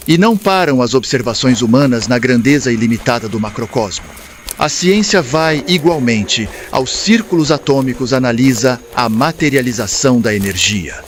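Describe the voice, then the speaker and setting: A man's voice: mid-range voice, lightly acted. Man playing a solemn spirit mentor, faint background noise. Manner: formal, didactic, measured. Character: wise male spirit mentor, calm, solemn, instructive. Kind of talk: scripted audiobook dialogue, lecturing.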